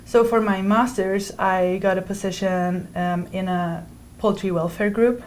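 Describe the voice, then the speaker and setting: A woman's voice: medium pitch, steady tone, a little slow, darkish tone, slight background noise, low-quality recording. A young woman from Switzerland, a PhD student, explaining her research in an interview with careful phrasing and some restarts. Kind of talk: interview answers. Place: indoor university room.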